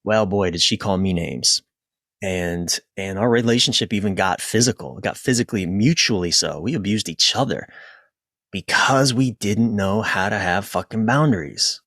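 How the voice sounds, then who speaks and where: A man speaking as a podcast guest and relationship coach, telling personal stories in an informal, emphatic, sometimes halting way with casual swearing. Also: lowish voice, a bit bright, dry recording, clean studio sound.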